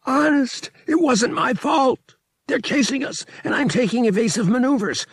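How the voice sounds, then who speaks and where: A man voicing a brash young starship pilot in an audiobook, excitable and colloquial. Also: very high-pitched, moderate pace, slightly rough, dry recording, clean studio sound, pitched above the performer's own voice, heavily acted. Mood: frantic, strained. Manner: urgent, colloquial, exclamatory. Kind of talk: audiobook character voice.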